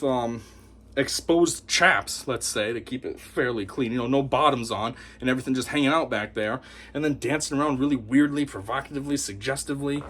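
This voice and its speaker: A man giving an opinionated monologue to camera as a commentator, talking in a scornful, animated way. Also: medium pitch, husky. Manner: animated, scornful, mocks opponents in put-on voices. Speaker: YouTube commentator.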